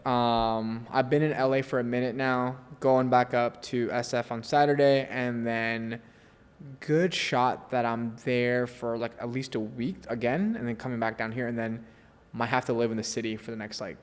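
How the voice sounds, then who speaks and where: A young man with an American accent talks in a relaxed, slangy way, often poking fun at himself. His voice sits at an ordinary middle pitch. The recording carries a long reverb tail, like a large hard room. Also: moderate pace, clear, resonant tone. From Southern California. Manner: laid-back, slangy, self-deprecating. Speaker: podcast guest. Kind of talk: casual chat.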